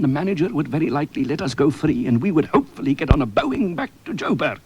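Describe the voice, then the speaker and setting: A man's voice: fairly high pitch, close to an everyday voice. A man playing an earnest, self-important adventurer, narrating his exploits in mock-dramatic radio comedy. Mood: breathlessly dramatic.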